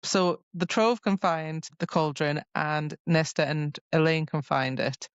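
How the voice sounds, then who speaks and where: A woman's voice: deep voice, steady tone, measured pace, clean tone, no room sound, lo-fi sound. A woman co-hosting a podcast in a casual book discussion, retelling things animatedly with mock quotes. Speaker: podcast co-host.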